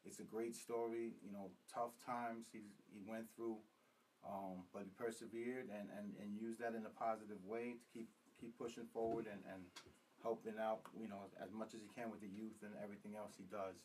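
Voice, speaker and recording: A man hosting a talk show, originally from Puerto Rico, chatting casually with many ums and pauses. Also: on the low side. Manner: relaxed, hesitant with frequent ums. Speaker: podcast host. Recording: distant mic, faint room noise.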